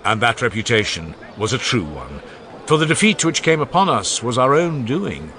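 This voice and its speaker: Solemn, bright-voiced male narrator. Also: medium pitch, big pitch swings, normal speed. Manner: solemn, declamatory oratorical delivery with wide swings in emphasis. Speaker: audiobook narrator. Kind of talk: dramatized audiobook reading of a funeral oration.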